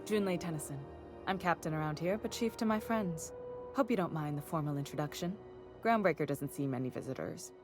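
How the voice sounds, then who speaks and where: A confident female space station chief from a video game, acted by a woman, with a lowish voice. She is plainspoken and firm yet cordial, with faint music underneath. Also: brisk, lightly acted. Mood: cordial, curious. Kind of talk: video game character acting.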